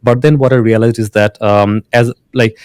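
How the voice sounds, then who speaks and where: A man's voice: medium pitch, steady tone, moderate pace, darkish tone, clear, resonant tone, dry recording. A man from Bangladesh, a founder being interviewed, who restarts often and uses many fillers. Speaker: founder and guest. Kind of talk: casual interview talk.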